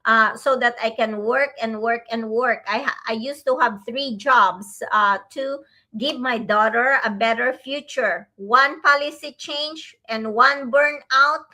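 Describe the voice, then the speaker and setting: A woman from the Philippines, a grandmother, co-hosting a casual online talk show in a slow, earnest way with many fillers. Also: a little high, sharp tone, low-quality recording. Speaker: co-host.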